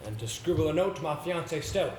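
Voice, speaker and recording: Casting: man as confident, persuasive young gentleman, theatrical stage delivery, slightly high pitch, roomy sound with faint noise. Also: lively intonation, quick pace, close to an everyday voice. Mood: earnest. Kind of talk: amateur stage play acting. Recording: echoey stage recording with background hiss.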